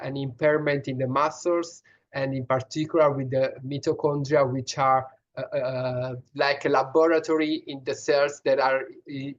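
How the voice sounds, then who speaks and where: A man's voice: mid-range voice, muffled recording. A man with a strong Italian accent, a pediatrician answering questions in an online session, explaining earnestly with many restarts and fillers. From Italy.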